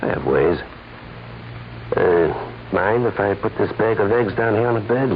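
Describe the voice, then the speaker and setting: A man's voice: lowish voice, lively intonation, a little slow. An actor playing a sly, smug holdup man with coy hesitations and chuckles in a 1940s radio crime drama. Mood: sly, teasing. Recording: muffled, hissy 1940s radio recording.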